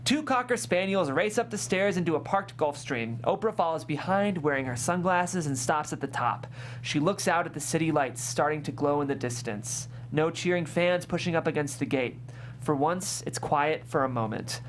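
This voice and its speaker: A woman hosting and narrating, speaking in a clear presenter's way as she reads or introduces. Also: low voice, expressive, moderate pace, slight background noise. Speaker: host and stage-directions narrator. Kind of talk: scripted narration and interview hosting.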